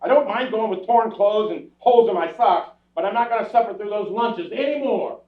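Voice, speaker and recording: A man's voice: sits high, a little fast, recorded from a distance, natural voice. An actor playing a henpecked, wisecracking husband in his forties, sardonic and exasperated, in old-time radio comedy style. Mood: complaining. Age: middle-aged. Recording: dull, echoey old radio recording, off-mic.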